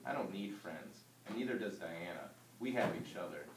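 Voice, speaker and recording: A man's voice: low-pitched, animated, normal speed. A man reading as a sullen, hot-tempered boy, echoey. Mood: defiant, grudging. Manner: grudging, defiant, curt. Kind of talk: storybook read-aloud. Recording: roomy distant mic with background noise.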